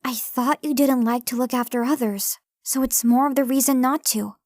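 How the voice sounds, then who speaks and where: A woman's voice: high voice, fairly even intonation, moderate pace, a little mellow, dry recording, studio quality, lightly acted. A woman playing a guarded, cynical runaway girl in an anime fan dub, blunt and distrustful. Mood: guarded, challenging. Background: music.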